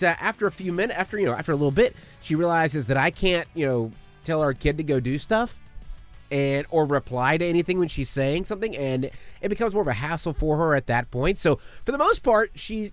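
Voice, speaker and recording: A man's voice: on the high side, lively intonation, brisk, faint music behind. A man hosting a podcast in a chatty, rambling, upbeat way, his voice a bit strained from allergies. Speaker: podcast host. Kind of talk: casual podcast monologue. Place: studio.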